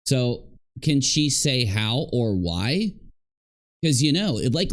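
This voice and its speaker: A man commenting live, reacting to clips and talking to his chat in a sarcastic, rambling way. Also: medium pitch. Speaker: livestream commentator.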